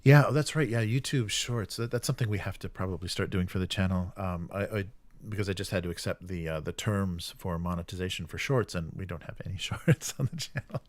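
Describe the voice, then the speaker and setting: A man hosting a casual tabletop gaming livestream, musing and commenting in a rambling, halting way. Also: low-pitched, no room sound. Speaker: host of a live tabletop gaming stream. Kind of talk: casual live commentary with reading of chat comments.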